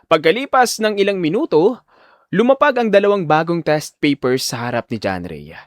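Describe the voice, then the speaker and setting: A man's voice: a little high, big pitch swings, normal speed, dry recording, studio quality. A man narrating a scripted audio drama as a dramatic storyteller.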